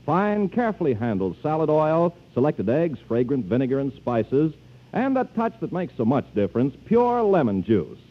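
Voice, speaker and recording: Male 1940s radio ad announcer; highish pitch, big melodic swings, muffled vintage sound. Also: very animated, normal speed. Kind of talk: 1940s radio commercial, scripted.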